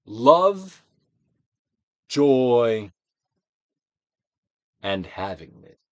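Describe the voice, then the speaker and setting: A man giving a dramatic, emphatic audiobook reading of a self-help book, moving between loud declarations and hushed phrases. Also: medium pitch, sing-song, drawn-out words, no echo. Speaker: actor reading a book aloud.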